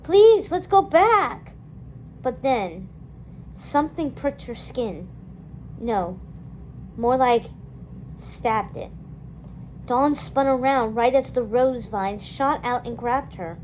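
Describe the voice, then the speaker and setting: A woman's voice: on the high side, slow pace, pauses often, a little mellow, slight background noise, rough recording. A woman narrating a children's novel aloud as a storyteller.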